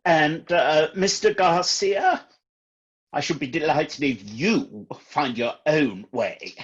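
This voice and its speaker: An actor playing a courteous, fussy priest with a husky voice in a comedy radio play. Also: male voice, on the high side, animated, slow, full character voice. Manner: formal, polite, fussy. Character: courteous, fussy, disgraced priest trying to stay inconspicuous. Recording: dull, muffled home recording.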